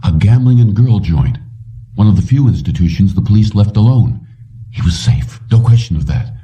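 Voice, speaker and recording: A man's voice: lowish voice, expressive, moderate pace, warm tone, distant mic. A man reading a fiction audiobook as narrator, in a dull recording that sounds set back from the mic.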